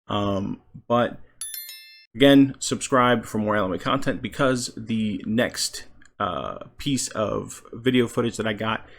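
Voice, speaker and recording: A man giving casual video game commentary as a channel host, halting as he thinks aloud. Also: fairly low pitch, gravelly, fairly bright tone.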